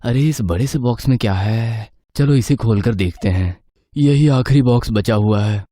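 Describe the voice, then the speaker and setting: Man playing a mellow young birthday boy. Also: mid-range voice, moderate pace, no room sound, natural voice. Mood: casual. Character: young man, twenty, friendly birthday party host. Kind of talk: animated story acting, conversational.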